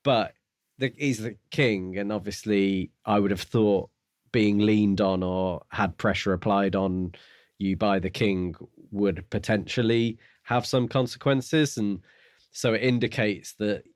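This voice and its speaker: Deepish guy reasoning through a book on a podcast, casual, dry studio-like sound. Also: fairly even intonation, a little slow, no room sound. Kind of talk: casual discussion of a novel.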